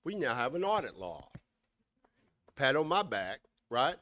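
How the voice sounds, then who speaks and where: A man's voice: mid-range voice, big pitch swings, a little slow, pushed and bright. A man giving a critical, sarcastic talk at a meeting. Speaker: meeting speaker on election law.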